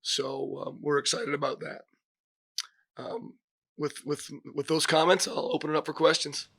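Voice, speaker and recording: A middle-aged man, hoarse from a cold, giving press conference remarks as a head football coach, halting with many fillers and restarts. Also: a little high, steady tone, fairly bright tone, no room sound.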